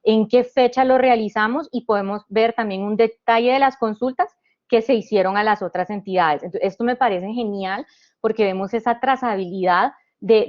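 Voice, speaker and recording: A woman from Honduras giving an explanatory presentation as a panelist. She talks through each point step by step, with restarts and "eh" pauses. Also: mid-range voice, dull audio. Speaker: panelist presenting a government digital service.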